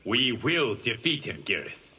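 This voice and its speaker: An actor voicing a calm, noble lord and mentor in a video game, speaking with formal assurance. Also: male voice, medium pitch, unhurried, heavily acted. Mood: resolute.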